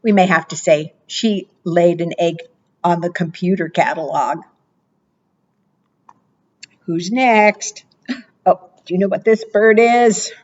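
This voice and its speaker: A woman librarian reading picture books aloud to preschoolers, with expressive, animated delivery, asking the children questions and praising their answers. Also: slow pace, pauses often, lo-fi sound. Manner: expressive, animated read-aloud with playful pitch jumps.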